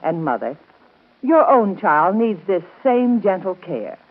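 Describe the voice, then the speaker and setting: A woman's voice: medium pitch, big pitch swings, takes it slowly. A woman reading a scripted 1930s radio advertisement, addressing the listener directly with emphatic persuasion. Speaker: commercial spokesperson. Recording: tinny, band-limited 1930s radio broadcast.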